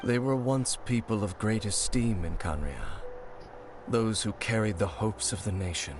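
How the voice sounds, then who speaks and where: A man playing a grave, bitter ancient swordsman in a video game, speaking solemnly in formal, measured phrases. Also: lowish voice, noisy background, lightly acted. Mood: grim, resentful. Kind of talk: dramatic video game voice acting.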